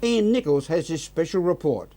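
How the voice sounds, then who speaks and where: A man with an Australian accent reads the news from a script. His voice is somewhat high for a man yet very soft-edged and mellow in tone. The delivery is measured and formal. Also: expressive. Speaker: news presenter.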